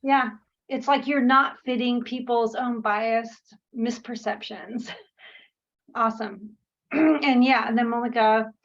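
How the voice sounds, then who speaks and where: A woman's voice: a little high, fairly even intonation, takes it slowly, dull audio. Woman leading an online workshop. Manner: warm, encouraging, many "um"s. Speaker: workshop presenter. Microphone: video call.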